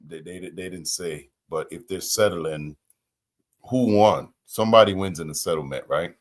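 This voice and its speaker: A man's voice: sits low, normal speed. A man hosting a reaction channel, talking casually and musing aloud with rhetorical questions.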